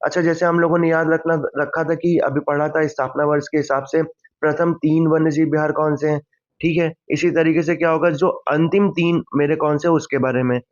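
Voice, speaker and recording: A man lecturing for exam revision, didactically posing questions, answering them and repeating key points. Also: on the high side. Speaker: exam-prep teacher. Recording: dull lo-fi microphone sound.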